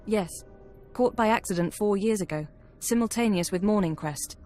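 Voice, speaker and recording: An actress plays an analytical intelligence officer in a sci-fi game. She has a medium-pitched voice and reports briskly and clinically, with exact detail. There is faint music in the background. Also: warm tone, natural voice. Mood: matter-of-fact. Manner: precise, clinical, matter-of-fact. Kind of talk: video game cutscene acting.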